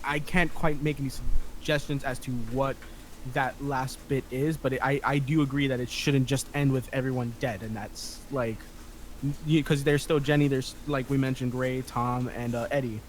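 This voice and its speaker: A man's voice: mid-range voice, fairly even intonation, normal speed, a little hiss or hum, low-quality recording. A man talking casually in a group feedback session, tentative and rambling, with thoughts that trail off. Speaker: script reading participant giving notes.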